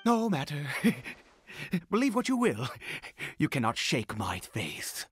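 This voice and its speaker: A man's voice: fairly high pitch, huge pitch swings, a little hiss or hum, exaggerated voice. A theatrical, wildly swooping fanatical father. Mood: indignant, zealous. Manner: theatrical, halting, with pauses and emphatic swells. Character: fanatical, unhinged father devoted to a mysterious god. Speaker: voice actor. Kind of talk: dramatic game dialogue acting.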